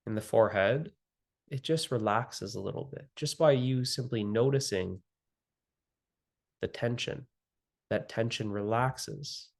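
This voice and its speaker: A man leading a guided meditation as the guide, speaking gently and soothingly with long pauses between phrases. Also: medium pitch.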